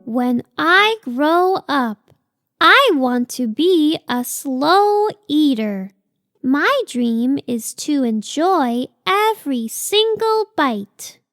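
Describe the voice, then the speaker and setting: A woman's voice: sits high, sing-song, very slow, a bit bright, dry recording, clean studio sound, heavily acted. A woman voicing a cute, earnest young female sloth baby in a children's picture book read-aloud, with simple, childlike phrasing. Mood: dreamy, earnest.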